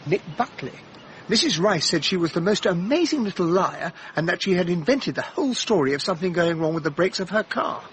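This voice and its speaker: An actor playing an earnest, loyal gentleman sidekick to a detective, with a British accent and a polite, straightforward manner, in a radio drama. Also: sits high, animated, a little fast, slight background noise, poor audio.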